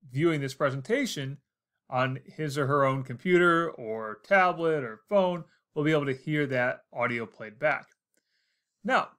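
A man's voice: a little high, fairly bright tone. An American man in his forties narrating a casual instructional screencast, explaining things step by step. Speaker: tutorial host.

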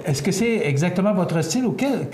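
Man, older, TV interviewer, plain questions, mellow voice, roomy studio. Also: high voice, sing-song, brisk. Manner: plain questions, short acknowledgments. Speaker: TV interviewer host. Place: TV studio set. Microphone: lapel mic.

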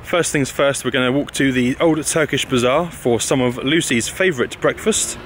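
A man's voice: medium pitch, moderate pace, a bit bright, slight background noise. A young British man chatting casually to camera as a travel vlogger. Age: young adult. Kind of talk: casual travel vlog narration. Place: outdoors, snowy woodland and city park. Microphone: camera at arm's length. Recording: music over voice. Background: music.

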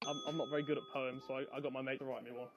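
A man's voice: mid-range voice, flat delivery, very fast, highs cut off, faint music behind. A man in his twenties, a dating show contestant, bantering and teasing in a playful way. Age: 20s. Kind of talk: joking banter.

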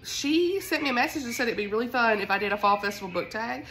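A woman's voice: a little high, quick pace, rough recording. Congested Southern woman chatting casually. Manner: chatty, informal, expressive. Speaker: book vlogger. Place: at home.

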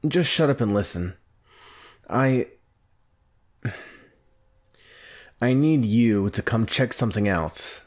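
A man playing a brash, gruff young anime hero who hides his fears, in a halting, defensive, intimate ASMR roleplay. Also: medium pitch. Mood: gruff, bossy. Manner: halting, defensive, huffy, with sighs.

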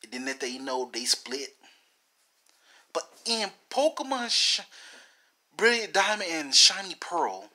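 A man's voice: on the high side, big pitch swings, a little slow, pauses often, bright, forceful tone. A man giving rambling video game commentary in a theatrical manner, repeating phrases and talking straight at the viewers.

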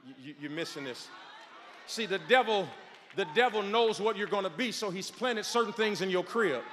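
A man in his forties, a pastor, preaching a sermon on a large church stage with a handheld microphone, shouting and calling on the crowd to respond. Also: sits high, animated, normal speed, slight background noise. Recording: long hall reverb.

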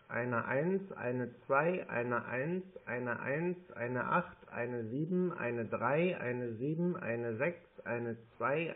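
Calm man on a tinny phone line. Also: mid-range voice. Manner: calm and unhurried, reading digits one by one. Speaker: caller posing as a card holder. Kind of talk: phone call.

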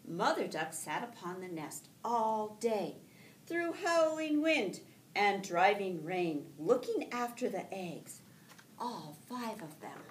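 A middle-aged woman, a teacher reading a picture book aloud to children in an expressive, deliberate storytelling way, with drawn-out words. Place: school classroom, indoors. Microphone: camera a few feet away. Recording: echoey room, distant mic, faint rumbling noise.

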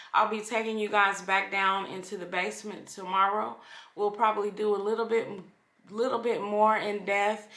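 Casting: middle-aged female vlogger, casual and unhurried, bright voice with room echo. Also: medium pitch. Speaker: vlogger, channel host. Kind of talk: casual chat to camera. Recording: roomy echo from camera microphone.

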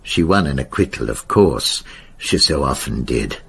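A grave, knowing man in a radio play. His voice is extremely low and gravelly, with swooping, weighty phrases. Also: lively intonation, bright, forceful tone, a little hiss or hum, heavily acted. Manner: slow, deliberate, weighty. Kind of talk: British radio drama acting.

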